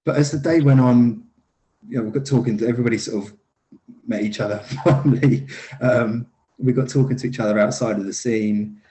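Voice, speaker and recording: A man's voice: medium pitch, fairly even intonation, fairly quick, dark tone. A man being interviewed about his acting work, talking casually with many fillers, restarts and easy laughs. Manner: laughs easily. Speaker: lead actor guest. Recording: echoey room.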